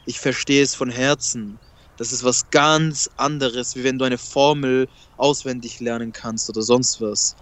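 A man whose first language is Kurdish, talking emphatically and repeating himself in a casual spiritual conversation. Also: mid-range voice.

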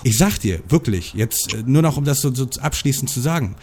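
A man's voice: medium pitch, expressive, a little fast, a little mellow, slight background noise. A man in a casual spiritual conversation, lecturing with slang.

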